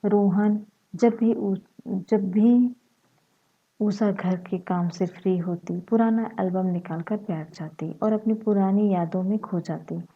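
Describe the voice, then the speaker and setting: A woman with a slightly husky voice, narrating a short story. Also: medium pitch, steady tone, slow, phone-quality sound.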